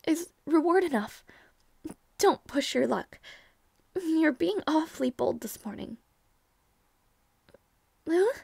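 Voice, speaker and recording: A woman voicing a proud young princess who teases, stammers when flustered and sometimes drops to a soft whisper, in an audio roleplay. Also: very high voice, vocal fry, no echo, clean studio sound, exaggerated voice.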